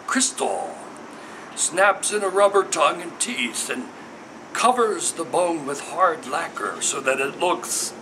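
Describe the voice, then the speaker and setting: A man's voice: a little high, very animated, slow pace, noisy background. A man giving a dramatic, incantatory poetry reading in a declamatory, emphatic, theatrical manner. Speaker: poet reading own work.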